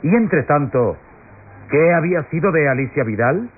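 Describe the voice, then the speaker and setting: A tinny, slightly noisy old radio drama recording carries a fairly high-voiced male prosecutor, played by an actor. He declaims solemnly and pompously, accusing before a jury with theatrical weight. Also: expressive, normal speed, close to an everyday voice. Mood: indignant, grave. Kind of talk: melodramatic classic radio drama oratory.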